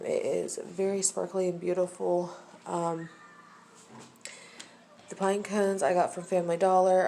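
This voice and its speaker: A woman's voice: lowish voice, vocal fry, warm tone, slight background noise. A woman from Ohio with a nasal, allergy-stuffed voice, giving a casual home tour on a handheld phone and filling her talk with lots of ums. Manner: slow, filler-heavy chatter with many ums. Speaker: home vlogger. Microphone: phone held in hand.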